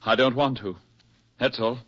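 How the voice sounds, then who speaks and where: A man's voice: medium pitch, fairly even intonation, normal speed, a bit bright, no room sound, lightly acted. A man acting a withdrawn, haunted war veteran with terse, guarded delivery in a 1940s radio drama. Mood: withdrawn, stubborn. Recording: muffled 1940s radio, faint music.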